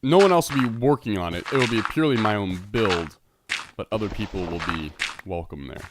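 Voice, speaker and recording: A man's voice: on the low side, expressive, a little fast, background noise. A man with a stuffed-up, congested nose, chatting his way through a tour of a Minecraft build and correcting himself as he goes. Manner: rambling, self-correcting, chatty. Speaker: Minecraft server let's player. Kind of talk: casual gameplay commentary.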